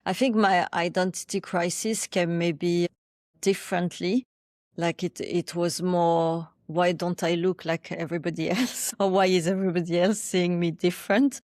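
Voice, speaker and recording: A woman in her thirties who grew up in France, answering interview questions thoughtfully and often pausing to restart her sentences. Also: on the low side, fairly even intonation, a little mellow, no room sound, studio quality. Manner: thoughtful, with frequent restarts and hesitations. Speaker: podcast guest.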